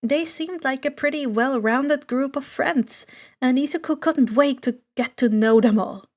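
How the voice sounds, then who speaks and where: A woman with a fairly high voice reads fan fiction aloud. She narrates as the reader of an amateur audio story. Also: unhurried, a little mellow. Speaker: podfic reader.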